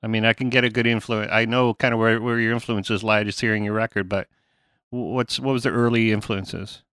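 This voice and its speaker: A man's voice: lowish voice, level tone, fairly quick, fairly bright tone, dry recording, studio quality. Chatty man hosting a podcast. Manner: loose, chatty, rambling. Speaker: podcast host.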